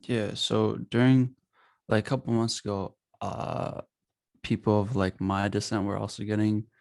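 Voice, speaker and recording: A young man from Bangladesh, a podcast guest talking in a halting way with many fillers. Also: on the low side, level tone, vocal fry, darkish tone, no echo. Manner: halting, filler-heavy, says like a lot. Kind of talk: casual podcast chat.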